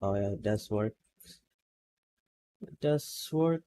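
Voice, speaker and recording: A man's voice: on the low side, expressive, takes it slowly, warm tone. A man streaming a video game, chatting casually to his viewers and laughing often as he plays. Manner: laid-back, chatty, laughs often, greets chat by name. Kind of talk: casual gameplay stream chat.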